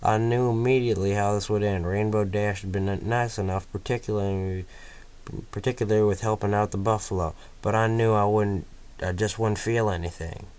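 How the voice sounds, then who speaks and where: A man reading a first-person story aloud in a steady storytelling manner. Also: on the low side, clean tone. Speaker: fanfiction reader.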